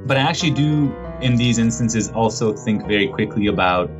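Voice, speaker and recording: A man's voice: fairly low pitch, animated, a little fast, muffled recording, music in the background. A man from Hungary who teaches European studies, speaking in a wry, self-deprecating, hedging way in a podcast conversation.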